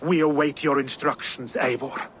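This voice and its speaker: An actor playing a loyal, shrewd Viking war ally in a video game cutscene, speaking in an earnest, measured manner. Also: male voice, mid-range voice, steady tone, fairly bright tone, slight background noise, lightly acted. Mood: steady, determined.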